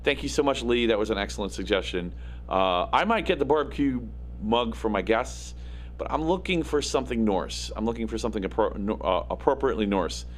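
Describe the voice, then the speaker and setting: A man hosting a casual show from his home studio, with a gravelly, raspy, slightly nasal voice, rambling with plenty of fillers and self-deprecating asides. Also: fairly low pitch, animated, brisk. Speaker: host. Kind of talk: casual livestream talk. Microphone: studio microphone. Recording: faint hum in the electronics. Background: faint electrical hum.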